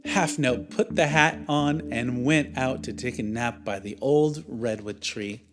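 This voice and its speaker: A man's voice: medium pitch, measured pace. A man who teaches music, narrating a story for young children.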